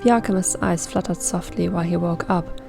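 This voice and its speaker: A woman's voice: fairly low pitch, a little fast, creaky. A woman reading fan fiction aloud as scripted story narration. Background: background music.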